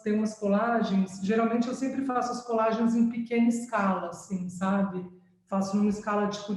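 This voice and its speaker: A woman's voice: mid-range voice, a little mellow. Thoughtful woman on an echoey video call. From France, raised in São Paulo. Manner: thoughtful, searching for words, frequent tag questions. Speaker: visual artist presenting her work. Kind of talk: informal talk over a video call. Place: her art studio. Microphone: video call microphone.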